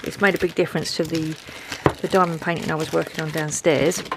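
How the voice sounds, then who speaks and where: A woman's voice: sits low, level tone, brisk, vocal fry, background noise. A woman with a head cold and a dry throat, chatting in a rambling, self-deprecating way as she shows off a craft haul at home. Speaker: craft vlogger showing a haul. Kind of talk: casual chat to camera.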